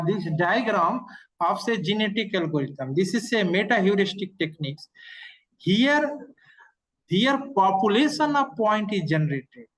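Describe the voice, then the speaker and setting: An online instructor answers questions in a live technical lecture. The delivery is halting and repetitive, with frequent small verbal tags punctuating each step. The pitch sits in a middle range. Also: poor audio.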